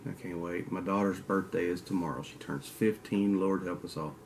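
A man's voice: low voice, fairly even intonation, moderate pace, darkish tone, slight background noise. A man in his forties with a Kentucky southern drawl, rambling casually to a desk webcam in a den and trading teasing trash talk. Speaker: outdoors channel host. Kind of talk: casual vlog talk to camera. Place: indoor den with mounted antlers.

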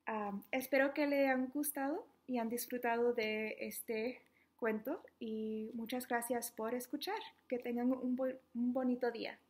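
A young adult woman reading a children's book aloud interactively, in an animated, playful, questioning manner. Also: fairly high pitch, measured pace. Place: small living room at home, indoors.

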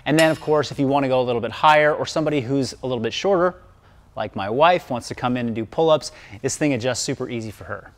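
A man's voice: medium pitch, fast. Male fitness coach, 30s, eager tour-guide delivery, lapel mic in a big gym. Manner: enthusiastic, explanatory. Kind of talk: upbeat presenter walkthrough. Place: large indoor gym.

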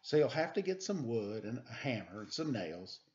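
A middle-aged man with a playful, animated, self-deprecating manner, doing a children's read-aloud with casual, joking asides into a webcam at home. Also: mid-range voice, normal speed. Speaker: storytime reader. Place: indoors, room at home. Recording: dull webcam audio, noisy background, clicks.

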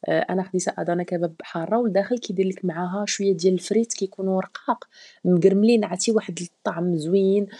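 A woman's voice: mid-range voice, fairly even intonation, rapid-fire, dark tone, husky, dry recording. A woman with a Moroccan Arabic accent, chatting casually as a travel vlogger with many hesitations and fillers. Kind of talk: casual travel vlog voice-over.

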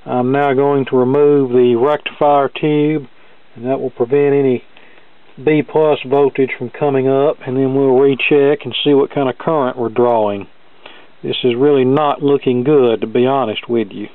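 A man explains a technical repair as he works, in a smooth, clear voice that stays very level in pitch. His delivery is plain-spoken and measured. The sound is thin and band-limited, like a phone line, with little room sound. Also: steady tone, dry recording. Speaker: hobbyist radio repair demonstrator. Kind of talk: hands-on repair walkthrough, talking through steps. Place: indoor cluttered electronics workbench.

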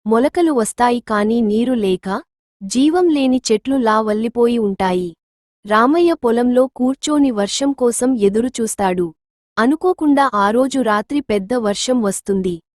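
A woman narrates a scripted moral tale as the storyteller. Her voice sits fairly high, and the recording is close and dry with no room sound. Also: unhurried, darkish tone, no room sound.

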